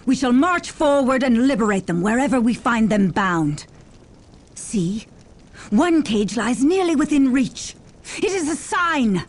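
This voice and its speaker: High-voiced zealous warrior nun. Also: female voice, expressive, unhurried. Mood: rousing resolve. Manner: declamatory, solemn, commanding. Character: zealous stern warrior nun commander, devout and fierce. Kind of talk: video game acting. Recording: battle noise behind the voice.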